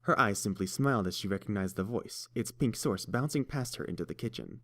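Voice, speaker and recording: A man reading a fan story aloud with a very low voice. His delivery is steady and even, paced like an audiobook. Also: fairly quick. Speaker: narrator.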